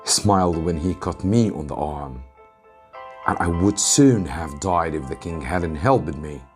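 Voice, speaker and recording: Low-voiced man reading a story dramatically. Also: unhurried, soft background music. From Egypt. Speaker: English teacher reading a story aloud.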